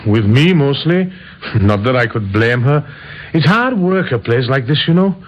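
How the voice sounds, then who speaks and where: An actor playing a humble working-class widower janitor in an old radio drama, speaking plainly and deferentially. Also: male voice, mid-range voice, sing-song, normal speed. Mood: subdued, deferential. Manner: deferential, plain-spoken, ungrammatical. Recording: noisy, muffled vintage radio recording.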